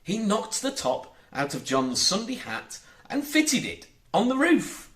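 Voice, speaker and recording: A man narrating a children's storybook in a measured, deliberate and expressive way. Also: high-pitched. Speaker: audiobook narrator.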